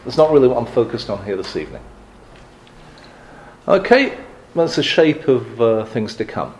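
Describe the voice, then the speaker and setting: A man's voice: medium pitch, very animated, normal speed, a little mellow. A middle-aged man from England giving a live lecture with dry, wry humor and frequent ums and restarts. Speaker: guest speaker on advocacy.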